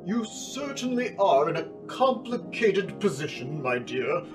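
A man's voice: high voice, sing-song, unhurried. A man voicing a kindly, flustered older physician in a cartoon, fussy, courtly and hesitant. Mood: kindly, pondering. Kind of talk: animated drama dialogue. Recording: music under the dialogue.

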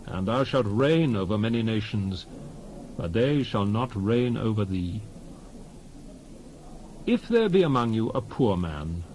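A man giving a solemn, declamatory dramatized reading of scripture in measured phrases. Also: mid-range voice, darkish tone, slight background noise, rough recording. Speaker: Bible reader.

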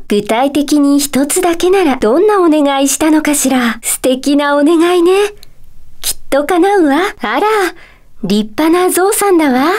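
Woman doing a kindly polite lady magic teacher from a video game, really high voice, calm n composed. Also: natural voice. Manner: polite, soft feminine phrasing, composed and kindly. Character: gentle, polite female magic-school teacher with a mysterious calm. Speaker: teacher character in a puzzle game. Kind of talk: Japanese video game character dialogue.

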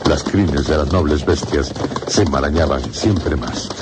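A man narrating a gothic horror radio drama in a solemn, measured way. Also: a bass voice, heavy background noise. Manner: solemn, measured storytelling in short phrases. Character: grave male narrator of a gothic horror tale. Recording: music under the voice.

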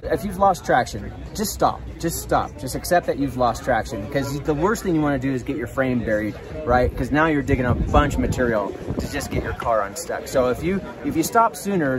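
A man in his thirties giving an eager, hands-on product demonstration at an outdoor expo booth, recorded on a camera mic a few feet away. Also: medium pitch. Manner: enthusiastic, explanatory, hands-on demonstrating. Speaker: product representative.

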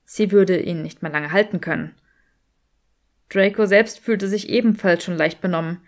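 A woman reading a story as an audiobook narrator, in an expressive scripted style. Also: lowish voice, moderate pace.

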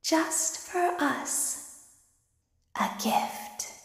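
A woman voicing a creepy, childlike clown-girl animatronic in a video game, sweetly sinister, with breathy, deliberate, eerie delivery. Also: very high-pitched, lively intonation, in a whisper, full character voice. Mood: eerie devotion. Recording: echoing hall reverb.

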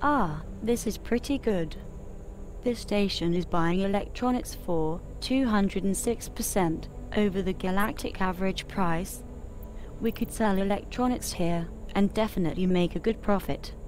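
A woman voicing a helpful spaceship computer assistant, making measured, informative scripted announcements for a game. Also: mid-range voice, darkish tone, slight background noise, lightly acted. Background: music behind the voice.

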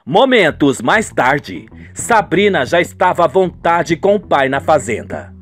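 A Brazilian man delivers scripted radio soap narration. His voice is on the high side and moves widely in pitch, with faint music underneath. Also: sing-song, unhurried.

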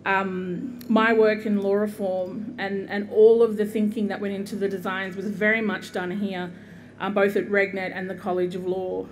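A woman's voice: medium pitch, little pitch movement, noisy background. Australian woman giving an outdoor address. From southeast Queensland, Australia. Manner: earnest, measured, with frequent ums and gestures. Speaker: guest speaker, constitutional lawyer. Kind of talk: commencement address. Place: outdoor university courtyard. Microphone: podium microphone.

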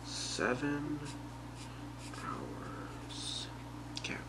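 A young man playing an obsessive, calmly menacing boyfriend in a halting, soft, half-whispered ASMR role play. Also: on the high side. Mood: calmly controlling. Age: young adult. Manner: halting, soft-spoken, unhurried. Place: small bedroom, indoors. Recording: very noisy webcam-style home recording.

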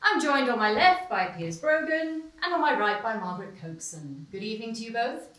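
A woman's voice: fairly high pitch, very animated, normal speed, fairly bright tone. An actress playing a polished female TV news presenter in a stage play, heard from a far mic in a small stone-walled theater. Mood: bright. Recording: roomy, distant stage sound.

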